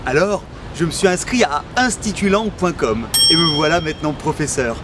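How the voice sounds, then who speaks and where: High-voiced mock-earnest teacher. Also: male voice, moderate pace, very noisy. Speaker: comedic language teacher host. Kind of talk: parody lesson.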